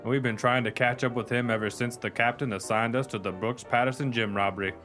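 A man acts a plainspoken police detective from a 1930s radio drama. His voice is of medium pitch. Soft music plays behind him. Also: fairly even intonation, a little fast, close to an everyday voice. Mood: curious, dry. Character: plainspoken male police detective, sidekick partner. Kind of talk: 1930s pulp radio play acting.

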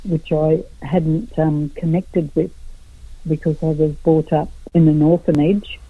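A middle-aged Australian woman, a guest artist in a radio interview, speaking slowly and haltingly with many pauses and fillers. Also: low voice, little pitch movement, breathy edge, no echo. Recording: tinny phone line.

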